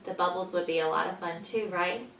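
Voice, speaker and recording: A woman teaching young children, patiently explaining in simple words and pausing after questions, as in a story lesson. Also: fairly low pitch, creaky, a bit bright. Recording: echoey room, distant mic, faint noise.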